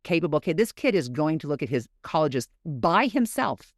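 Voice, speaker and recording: A woman's voice: low-pitched, very animated, normal speed, no echo, studio quality. A woman and mother co-hosting a podcast in casual chat, frank and blunt, laughing easily. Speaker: podcast co-host.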